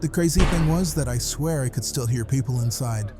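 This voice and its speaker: A man's voice: mid-range voice, fairly quick, over music, natural voice. An actor playing a folksy, wry hospital janitor who calls in and tells his story matter-of-factly in a horror audio drama. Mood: wry, gossipy. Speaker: caller.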